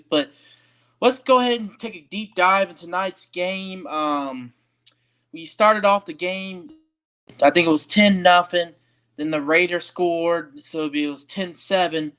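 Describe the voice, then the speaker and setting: A man's voice: a little high, a bit bright. A young man hosting a sports podcast alone, giving a casual post-game recap with frequent ums and uhs.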